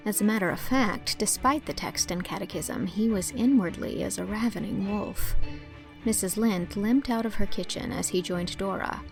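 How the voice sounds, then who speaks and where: Female storybook narrator, mid-pitched with noticeable vocal fry, dramatic scripted reading, faint music underneath. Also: moderate pace, a little mellow. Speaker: audiobook narrator.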